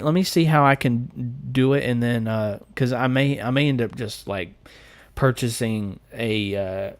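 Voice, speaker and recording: A man's voice: medium pitch, dry recording. Casual Southern American man, dry close sound. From United States. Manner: informal, joking. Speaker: live streamer. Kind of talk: casual live stream chat, reading and answering comments.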